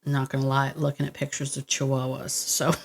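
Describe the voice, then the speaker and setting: A woman's voice: a bass voice, completely flat delivery, a little fast, vocal fry, slight background noise. A woman hosting a podcast, talking casually and directly to the listener in a chatty, encouraging, informal manner that often interrupts itself. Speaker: podcast host and dog trainer. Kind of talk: casual solo podcast talk addressed to the listener.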